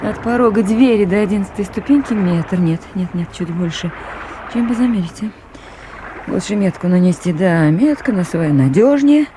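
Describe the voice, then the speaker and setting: A woman's voice: mid-range voice, lively intonation, normal speed, mellow, lightly acted. An actress playing a lone woman at a river station in a Soviet radio play, speaking tenderly and with brave unease, as if to a dog and to herself. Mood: thoughtful, resolute. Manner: talking soothingly to a dog and to herself. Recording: noisy 1980s radio play, outdoor water ambience.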